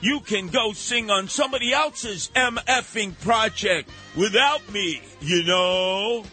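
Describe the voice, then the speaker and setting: A man with a high, bright voice works as a talk radio host. His delivery is theatrical and emphatic, full of repeated words and strong opinion, with faint music behind him. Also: takes it slowly. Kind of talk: animated opinionated radio monologue and banter.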